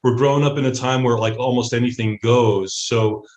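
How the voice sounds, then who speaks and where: A middle-aged man chatting casually as an interview guest, halting and often restarting his thoughts. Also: medium pitch, steady tone, a little mellow. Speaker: interview guest, theatre creator. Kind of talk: casual conversation. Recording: echoey room.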